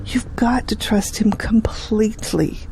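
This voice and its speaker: Actress as a soft-toned, devout mother. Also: fairly high pitch, unhurried, gravelly, whispered, poor audio. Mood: earnest, tender. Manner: earnest, pious, instructive. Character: devout, gently earnest mother counselling her son.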